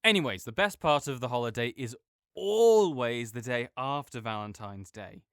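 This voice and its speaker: A man's voice: mid-range voice, expressive, moderate pace, fairly bright tone, clear, resonant tone, dry recording, studio quality. A young man in an audio roleplay, talking as a supportive friend in a playful, reassuring way.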